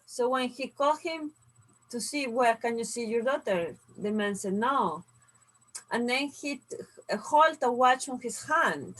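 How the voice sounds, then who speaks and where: A woman's voice: fairly high pitch, measured pace, rough recording. A woman who grew up in Serbia and Peru, telling stories animatedly in casual conversation. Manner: storytelling, animated, laughs often. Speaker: co-host, inventor.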